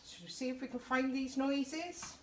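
A woman's voice: sits high, steady tone, moderate pace, darkish tone. A middle-aged woman, reading a children's picture book aloud in a chatty, encouraging way. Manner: chatty, encouraging, breaks off to point things out. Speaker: children's story time presenter for a youth service. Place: small indoor youth room. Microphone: camera a few feet away. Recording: roomy, distant camera mic with faint noise.

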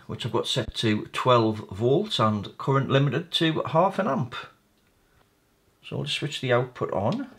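A man's voice: mid-range voice, fairly bright tone. A man hosting a repair video, casually narrating his hands-on work at a bench, thinking aloud in a tentative way. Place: indoor workbench.

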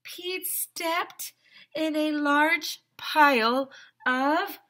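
A woman in her thirties, a teacher, reading a picture book aloud to young children in a theatrical, exaggerated, sing-song manner with drawn-out words. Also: sits high, bright, forceful tone. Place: small decorated room, indoors. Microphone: headset.

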